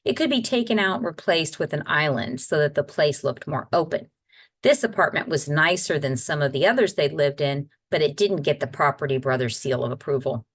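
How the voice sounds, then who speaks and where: A woman's voice: sits low, a bit bright. A woman reading a children's novel aloud as a guest storyteller, in scripted narration. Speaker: guest reader.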